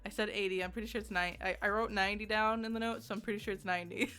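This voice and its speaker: Bright-voiced woman, giggly casual game reviewer. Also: mid-range voice, steady tone, rapid-fire, faint music behind. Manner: giggly, self-deprecating, conversational. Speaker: video game reviewer and host. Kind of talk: casual video essay review chat.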